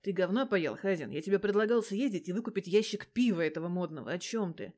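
A woman's voice: medium pitch, animated, fast talker, darkish tone, close to an everyday voice. A woman voicing a blunt, grudging police major, a man in his thirties, in an audio story. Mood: indignant.

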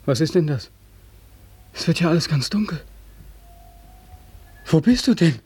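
An actor playing an anguished, despairing young soldier home from war in a 1940s radio play, with urgent questioning and dramatic repetition. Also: male voice, a little high, big pitch swings, unhurried, darkish tone, slightly rough, full character voice. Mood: frightened pleading. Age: young adult. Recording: noisy, crackly 1940s radio recording.

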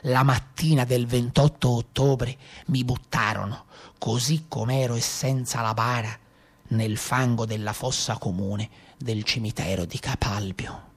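A man's voice: mid-range voice, steady tone, measured pace, breathy edge. A man playing a dead legendary brigand of the Maremma who recounts his own end in a theatre monologue, with a Tuscan accent and grave delivery. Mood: grim, solemn. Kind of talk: dramatic monologue in narrative theatre.

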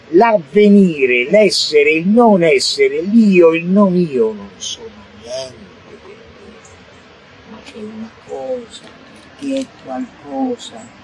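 A man reciting a theatrical monologue, swinging from shouts to hushed murmurs. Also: high-pitched, vocal fry, breathy edge, rough recording. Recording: faint noise, radio broadcast.

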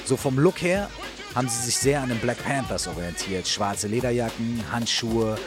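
A man with a medium-pitched voice chats as co-host of a music feature. He is slangy and emphatic, full of enthusiasm, with music clearly playing behind him. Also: moderate pace. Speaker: co-host and rapper. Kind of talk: casual enthusiastic music chat.

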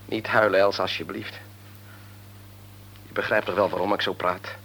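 An actor playing a middle-aged grieving father in a radio play, bitter and argumentative, sinking into quiet despair. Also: deep voice, little pitch movement, moderate pace, a little hiss or hum, rough recording. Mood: subdued.

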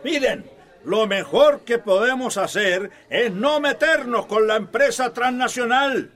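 A dismissive villager played by an actor speaks in an extremely high voice for a man. He sounds pragmatic and ready to give in, in radio drama style. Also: measured pace, a bit bright, soft background music. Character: dismissive villager urging everyone to submit.

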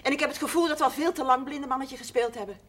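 A woman's voice: high-pitched, rapid-fire, fairly bright tone. An actress playing a proud, forthright middle-aged farmer's wife and mother in a period television drama. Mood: reproachful, insistent. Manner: forthright, insistent. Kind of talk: 1970s Dutch television period drama acting.

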